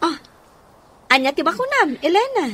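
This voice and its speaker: A woman's voice: very high-pitched, very animated, normal speed, a bit bright, heavily acted. A woman acting a friend on a night out in an Ilocano radio drama. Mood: chatty, lively.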